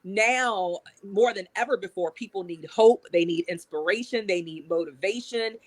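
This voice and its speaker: A woman's voice: medium pitch, moderate pace, no room sound. A woman with an American accent speaking as a guest in a motivational, emphatic way. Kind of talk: motivational interview talk.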